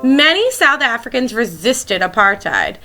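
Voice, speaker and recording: A woman's voice: fairly high pitch, big pitch swings, unhurried, pushed and bright, soft background music. A woman schoolteacher reading a children's picture book aloud, expressively and as if speaking directly to young listeners.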